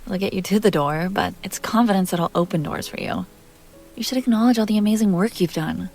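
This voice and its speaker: A woman's voice: mid-range voice, fairly quick, darkish tone, soft background music, natural voice. A woman playing a self-assured, playful vampire heiress in an intimate roleplay audio drama, talking directly to the listener. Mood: warm, encouraging.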